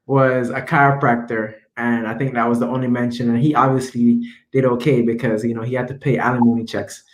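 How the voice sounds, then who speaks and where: Young Jamaican man, student guest, earnest and rambling, roomy echo on a home video call. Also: medium pitch. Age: young adult. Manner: earnest, rambling, many fillers. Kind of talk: casual interview answers. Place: home room, indoors. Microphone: laptop webcam video call. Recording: echoey room on video call.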